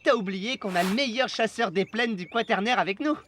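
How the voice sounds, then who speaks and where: A woman voicing a gentle, earnest and easily flustered young schoolboy in a French cartoon, with a pleading way of talking. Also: medium pitch, brisk, a little hiss or hum, close to an everyday voice. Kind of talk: French animated cartoon acting.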